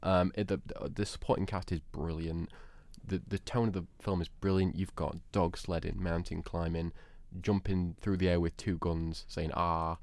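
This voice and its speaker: A man's voice: low-pitched, flat delivery, normal speed, few pauses, a little mellow, dry recording. A man co-hosting a podcast, debating films informally and laughing often as he talks. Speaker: podcast co-host. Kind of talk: casual film debate chat.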